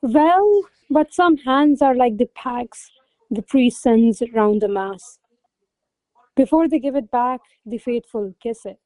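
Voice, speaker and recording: A woman's voice: a little high, takes it slowly, a little mellow, dry recording, low-quality recording. A woman playing a proud, teasing young noblewoman in a classical radio play, speaking in formal, theatrical phrases. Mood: coy, mocking. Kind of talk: classical verse stage comedy as radio play.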